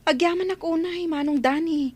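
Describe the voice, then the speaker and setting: An actress as a high-voiced, humble village neighbor. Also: female voice, moderate pace, a little mellow, no room sound. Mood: grateful. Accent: Ilocano. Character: humble, grateful neighbor woman short of money. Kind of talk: Ilocano radio drama acting.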